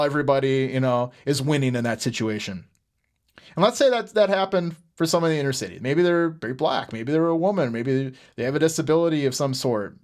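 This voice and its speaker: A middle-aged man with an American accent giving unscripted podcast commentary in an earnest, halting manner, often repeating words. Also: medium pitch. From United States. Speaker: podcast host. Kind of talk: unscripted political commentary with personal stories.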